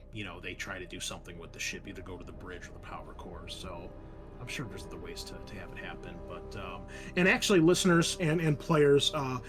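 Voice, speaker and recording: A man's voice: lowish voice, a bit bright. A man chatting casually as game master and host after a tabletop game, in an informal, rambling, sometimes sweary way.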